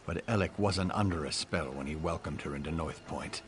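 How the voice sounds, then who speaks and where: A man's voice: extremely low pitch, a little fast, background noise. An actor playing a proud, severe middle-aged lord and father in a video game, commanding and formal. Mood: stern, weary. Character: stern lordly father, lawbound, proud, unforgiving. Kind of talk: scripted video game dialogue.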